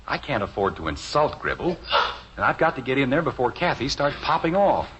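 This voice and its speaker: A man's voice: medium pitch, very animated, fairly quick, lightly acted. An actor playing a harried, wry middle-aged American father in a 1950s radio sitcom. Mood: exasperated, wry. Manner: flustered, sardonic. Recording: dull, hissy old radio recording.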